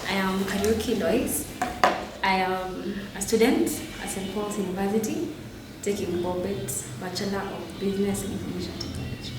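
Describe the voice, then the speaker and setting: A woman's voice: mid-range voice, fairly even intonation, normal speed. A young woman, a student answering in an interview. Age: young adult. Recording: very echoey room, distant mic, noisy.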